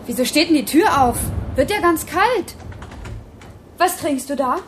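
Defiant young girlfriend, very high voice, whiny, slangy, radio play, faint noise behind. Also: expressive, unhurried. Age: young adult. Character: bratty, blunt young girlfriend, impulsive and defiant. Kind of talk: naturalistic radio drama acting.

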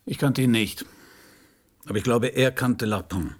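A man's voice: mid-range voice, unhurried, close to an everyday voice. An actor playing a world-weary private detective in a radio crime drama, narrating his case in a laconic, dry way. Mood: probing, cool. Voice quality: husky, gravelly.

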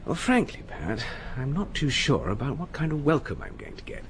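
An actor playing a breezy, sardonic gentleman with an upper-class English accent in a radio drama. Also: medium pitch, very animated, moderate pace, a little hiss or hum, close to an everyday voice. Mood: guarded.

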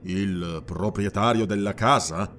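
A man's voice: fairly low pitch, slow pace, fairly bright tone, a little hiss or hum, pitched below the performer's own voice. Man playing a terse, proud barbarian warrior. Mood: curt. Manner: terse, stern, defiant. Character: brooding, proud young barbarian warrior from the north. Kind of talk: dramatized fantasy audiobook dialogue.

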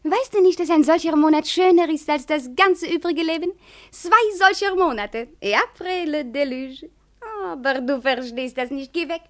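Very high-pitched, coquettish Frenchwoman. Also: moderate pace, a bit bright. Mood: seductive, teasing. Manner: coquettish, theatrical, sprinkling French phrases into talk. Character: flighty, teasing French demimondaine woman, coaxing and mercenary. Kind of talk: German radio drama acting.

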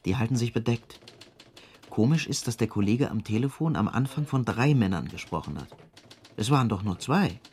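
An actor playing a dutiful police subordinate in a radio drama, reporting in a matter-of-fact, colloquial way. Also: male voice, medium pitch, lively intonation, normal speed, a little mellow, slight background noise, close to an everyday voice. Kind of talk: German radio drama acting.

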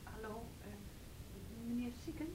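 A woman acting in a radio play as a timid, flustered, chatty neighbourhood lady with a hesitant, confiding, breathy delivery. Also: fairly high pitch, a little slow, dark tone, a little hiss or hum, natural voice, poor audio. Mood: timid, polite. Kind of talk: naturalistic Dutch radio play dialogue.

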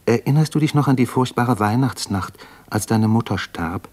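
An actor playing an earnest, homesick young doctor and poet in a reflective, intense 1950s German radio drama style. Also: male voice, mid-range voice, normal speed, darkish tone, close to an everyday voice. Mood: tender, wistful.

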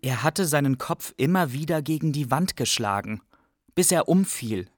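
A man's voice: on the high side, expressive, moderate pace, no room sound, studio quality. A man playing a young, reflective Iraqi refugee who narrates his wanderings in a radio play. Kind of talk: scripted first-person radio play narration.

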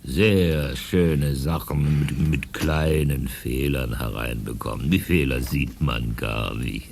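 An old, shifty shopkeeper in a 1980s radio play, eager to sell. His voice sits extremely low and crackles with fry as he slowly stammers through his patter. Faint noise lies behind him. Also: heavily acted. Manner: halting, stammering sales patter. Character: shady old male shopkeeper selling stolen goods, eager, shifty. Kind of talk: 1980s German youth radio play acting.

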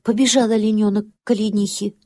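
A woman storyteller narrating a scripted children's nature tale in an expressive, dramatic way. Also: mid-range voice, fast. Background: music.

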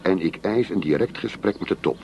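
An actor in a radio drama playing a sceptical undercover police officer, dry and matter-of-fact. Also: male voice, low-pitched, fairly quick, gravelly, mellow, a little hiss or hum. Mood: grumbling, wry. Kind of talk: Dutch radio drama dialogue.

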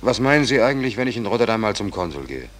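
An actor playing a stubborn, talkative, naive sailor in a 1940s radio drama, protesting in an artless, colloquial way. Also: male voice, on the low side, sing-song, racing. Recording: noisy old 1940s radio recording.

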